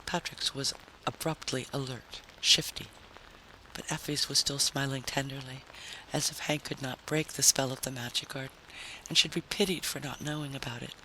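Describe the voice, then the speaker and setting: A man's voice: medium pitch, fairly even intonation, normal speed, pushed and bright. A man narrating a story in a dramatic, scripted vintage radio style. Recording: noisy vintage radio recording.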